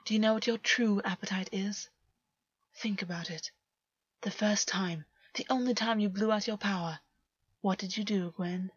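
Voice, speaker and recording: A woman voicing a cruel male fae in a story, taunting a captive in a deliberate, menacing way. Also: mid-range voice, steady tone, slightly rough, dry recording, lo-fi sound, natural voice. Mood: cold, probing.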